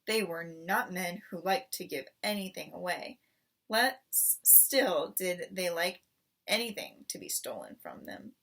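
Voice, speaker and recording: A woman reading a children's story aloud in expressive narration, recorded at some distance from the microphone. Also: lowish voice, a little slow, gravelly. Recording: voice distant from the mic.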